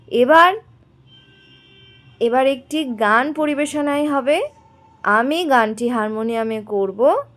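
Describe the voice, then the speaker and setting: A woman voicing an encouraging schoolteacher who coaxes and instructs, in a doll story. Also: high voice. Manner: coaxing, instructing. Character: encouraging female schoolteacher hosting a school flag ceremony.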